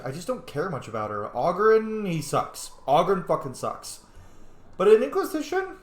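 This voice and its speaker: A man giving casual, sweary game commentary as the host of a gaming channel. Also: a little high. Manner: animated, sweary. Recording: echoey room.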